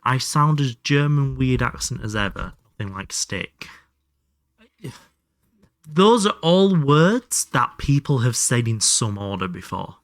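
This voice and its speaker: A man's voice: mid-range voice, fairly bright tone, no room sound, studio quality. A man doing casual live game commentary and chatting to viewers, sweary and self-deprecating. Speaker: live streamer playing a video game.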